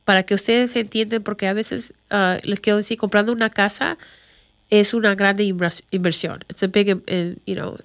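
American lady realtor, english is her first language, medium pitch, chatty explainer, slips english words in. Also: steady tone, moderate pace. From Chicago, United States. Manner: conversational, mixes in English words and phrases. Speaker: real estate agent. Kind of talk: informal explainer talk to viewers.

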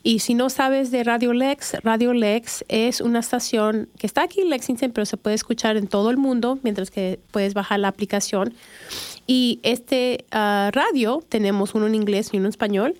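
A woman's voice: a little high, fairly even intonation, moderate pace, a little mellow, no room sound. A middle-aged woman from Chicago hosting a radio show, talking casually with many fillers and restarts. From Chicago, USA. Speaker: radio show host, real estate agent.

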